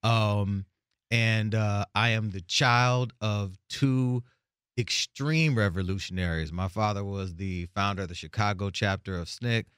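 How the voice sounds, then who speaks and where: A man in his forties, born in Tanzania, telling his story in a relaxed podcast chat. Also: fairly low pitch, measured pace, smooth, no room sound, clean studio sound. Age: 40s. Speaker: executive producer, guest.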